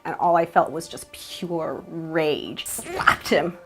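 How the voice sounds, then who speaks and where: A woman's voice: low voice, slow pace. A woman in her thirties speaking frankly in a documentary interview, telling her own story. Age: 30s. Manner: frank, candid, often murmurs agreement. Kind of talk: documentary interview, personal testimony. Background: faint music.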